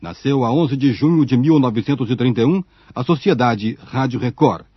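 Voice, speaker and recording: A man's voice: medium pitch, normal speed, breathy edge, no echo. A Brazilian man reading scripted narration for a radio documentary. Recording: muffled phone-like shortwave radio archive audio.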